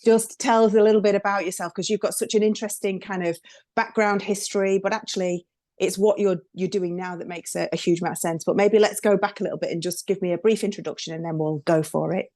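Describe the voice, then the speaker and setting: A woman's voice: medium pitch, fairly even intonation, quick pace, a little mellow, no echo, studio quality. A woman hosting a podcast, introducing her guest in a chatty, enthusiastic way with lots of fillers. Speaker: podcast host.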